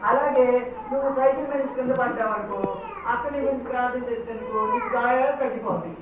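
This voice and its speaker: High-voiced woman teaching in an echoey hall. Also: fast talker, highs cut off. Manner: instructive, gently scolding. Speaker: children's Bible teacher, puppet show host. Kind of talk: live puppet show dialogue, teaching children. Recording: echoey hall from far off, noisy.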